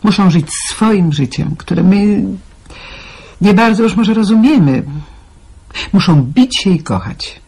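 An actor voicing a breathy, consoling old neighbour woman. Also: medium pitch, lively intonation, slow pace, pauses often, dark tone, exaggerated voice. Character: calm elderly neighbour woman, consoling, wise. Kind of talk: amateur radio play acting.

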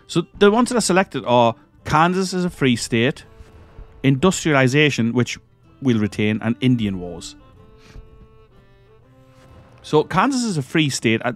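Man, croaky with a cold, gaming commentator, casual and stumbling, faint background music. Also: medium pitch. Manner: rambling, hesitant, self-correcting. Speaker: gaming channel host. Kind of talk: casual let's-play commentary.

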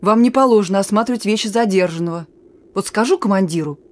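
A woman's voice: medium pitch, fast talker, lightly acted. A woman playing a young female partisan messenger in a radio drama, terse and guarded, with a teasing, wistful streak. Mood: sharp, teasing. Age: young adult. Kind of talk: Russian radio drama acting.

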